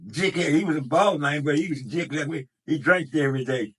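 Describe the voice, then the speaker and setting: A man's voice: on the high side, fairly quick, slightly rough. A man, telling stories to his grandson in a rambling way, repeating words and restarting his sentences. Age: 90s. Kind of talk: casual storytelling.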